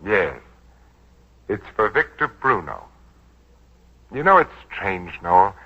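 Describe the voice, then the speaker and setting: Suave, low-voiced crime boss. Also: male voice, big pitch swings, takes it slowly, husky, exaggerated voice. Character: suave, ruthless male crime boss in business dress. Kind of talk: 1940s radio drama acting. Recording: muffled vintage 1940s radio broadcast.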